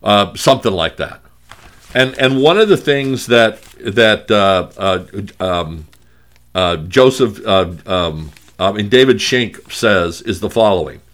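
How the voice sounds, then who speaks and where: A man with a gravelly voice, hosting a talk show and speaking in a halting way with many fillers and restarts. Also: lowish voice, expressive, a bit bright. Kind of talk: conversational radio talk.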